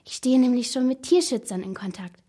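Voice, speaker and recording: A woman's voice: a little high, brisk, mellow, husky, voice pushed up. A woman voicing a nervous young teenage schoolgirl who confides earnestly in an audiobook story. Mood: confiding, pleading. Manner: earnest, confiding, half-whispered. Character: excited, nervous schoolgirl of about thirteen, secretive.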